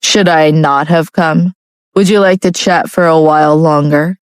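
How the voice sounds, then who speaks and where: A woman's voice: sits low, fairly even intonation, measured pace, clean tone, dry recording. Woman reading a cold scary husband, clipped and icy, dry recording. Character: cold, menacing young husband.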